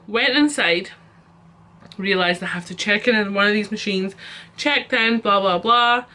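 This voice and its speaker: A woman's voice: medium pitch, bright, forceful tone, slight background noise. A young Scottish woman telling a storytime rant as a vlogger, chatty and exasperated, with lots of fillers.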